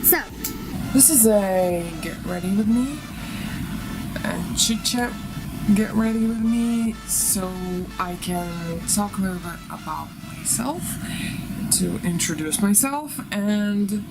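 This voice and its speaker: Russian woman vlogger w/ accent, chatty and rambly, kinda giggly, unhurried, music under her voice. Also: mid-range voice, lively intonation, rough recording. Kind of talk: casual chat to camera. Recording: music behind the voice. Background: background music.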